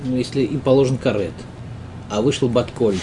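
Man, student asking questions, mellow tone, medium pitch, tentative, repeated words, faint noise in recording. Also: moderate pace, low-quality recording. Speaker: student in Talmud class. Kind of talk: informal questioning and discussion.

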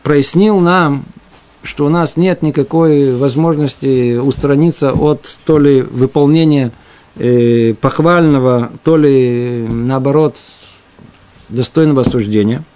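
A man's voice: mid-range voice, takes it slowly, dark tone. A man giving an explanatory religious lecture as a teacher, didactic, often posing rhetorical questions and answering them himself.

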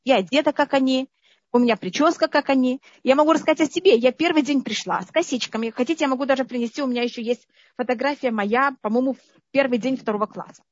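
A woman who grew up in the Soviet Union, a guest telling stories, lively and often asking her listeners whether they understand. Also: high voice, quick pace, no room sound. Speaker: interview guest. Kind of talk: conversational storytelling. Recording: dull lo-fi dry sound.

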